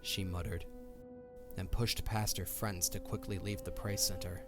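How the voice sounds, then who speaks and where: Deep-voiced man narrating over music. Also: steady tone, unhurried. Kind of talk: scripted story narration for an audio drama.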